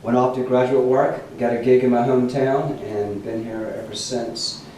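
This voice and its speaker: A man's voice: mid-range voice, flat delivery, normal speed, dark tone. A man from the American South, a professor and composer, chatting as a guest in a casual live interview. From Charleston, South Carolina, USA. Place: large echoey room. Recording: echoey room, distant mic, faint noise.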